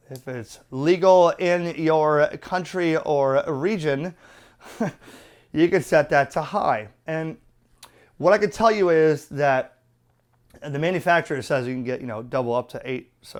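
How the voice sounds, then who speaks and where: Clear-voiced man demonstrating gear. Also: fairly high pitch. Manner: blunt, colloquial, talking step by step. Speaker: radio product reviewer and presenter. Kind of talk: casual unscripted hands-on demonstration and review.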